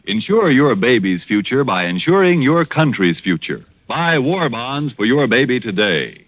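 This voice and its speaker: A man's voice: medium pitch, sing-song, unhurried, no echo, dull audio. A man reading sponsor messages as a polished, emphatic announcer in 1940s radio advertising style.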